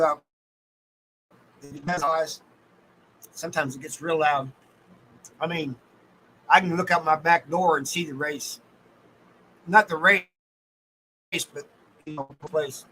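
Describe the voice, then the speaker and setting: A man's voice: fairly high pitch, bright, forceful tone, a little hiss or hum, poor audio. An American man hosting a casual livestream, talking in a folksy, colloquial way and addressing his viewers by name. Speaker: livestream host.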